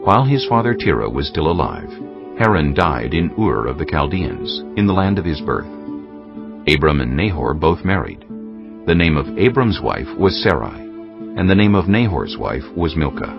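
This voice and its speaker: A man narrating a dramatized scripture recording in a measured, solemn storytelling style. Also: extremely low pitch, sing-song. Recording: muffled sound under clear music.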